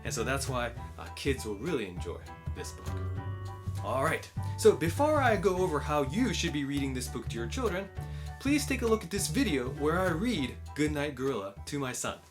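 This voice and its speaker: A man with an American accent explaining and recommending in a clear, instructive way, using simple wording, as host of a children's learning channel. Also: fairly high pitch, very animated, moderate pace, over music. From United States. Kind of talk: explanatory book recommendation and picture-book read-aloud.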